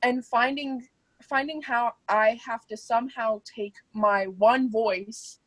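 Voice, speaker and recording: A woman's voice: on the high side, fairly even intonation, takes it slowly, dry recording, rough recording. A woman co-hosting a podcast, chatting casually and expressively, with many "like" fillers. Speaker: podcast co-host. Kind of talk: casual chat.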